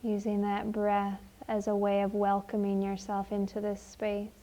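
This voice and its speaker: Woman doing guided meditation, really slow and mellow, higher voice, gentle with long pauses. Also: monotone.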